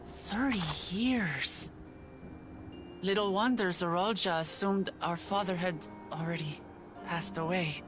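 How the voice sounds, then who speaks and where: An actress playing an earnest young princess in a video game, sincere, curious and plain-spoken. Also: female voice, on the low side, slow pace. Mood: puzzled, earnest. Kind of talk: scripted video game cutscene acting. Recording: game music behind.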